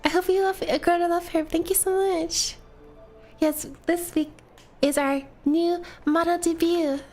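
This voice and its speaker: A woman's voice: very high voice, steady tone, unhurried, a little mellow, soft background music. A woman streaming a game, talking casually to her viewers while stuffy from a cold. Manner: chatty, talks to viewers between game lines. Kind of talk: casual live-stream chat.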